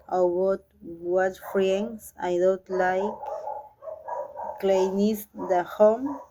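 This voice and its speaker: A young woman from Peru with a Peruvian Spanish accent, a Spanish speaker and language student, reading a practice dialogue aloud haltingly. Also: mid-range voice, background noise. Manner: halting, reads haltingly with restarts.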